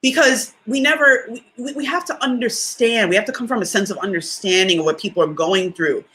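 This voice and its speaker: A woman with a deep voice giving a conversational motivational talk, stressing key lines by repeating them and often checking in with the listener. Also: a little fast, a bit bright, a little hiss or hum. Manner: emphatic, repeats key phrases for effect; conversational, often asks "you know what I mean?". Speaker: motivational speaker.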